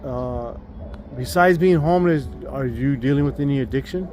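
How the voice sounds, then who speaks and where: A man's voice: mid-range voice, moderate pace. A man from Dallas hosting a live street interview, asking simple friendly questions with many fillers. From Dallas, Texas. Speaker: street interviewer, channel host. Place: outdoors by a busy road. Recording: heavy traffic noise outdoors.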